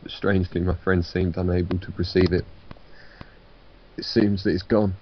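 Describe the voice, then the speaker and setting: A man with a low voice reads spooky game narration aloud, casually and with the odd restart. The recording is dull, with faint noise underneath. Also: little pitch movement, normal speed. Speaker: guest co-reader on gaming video. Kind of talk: reading visual novel narration aloud with casual asides.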